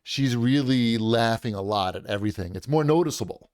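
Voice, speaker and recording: Male co-host, deep voice, casual and analytical, dry close sound. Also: expressive, brisk, a little mellow, no echo. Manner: analytical, with frequent restarts. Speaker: podcast co-host. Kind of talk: casual chat.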